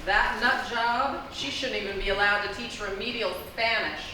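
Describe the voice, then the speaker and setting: A woman's voice: on the high side, normal speed, close to an everyday voice. A woman playing a bossy, exasperated small-town mayor in live radio comedy theatre, demanding and easily flustered. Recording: echoey theatre stage, distant mic, faint noise.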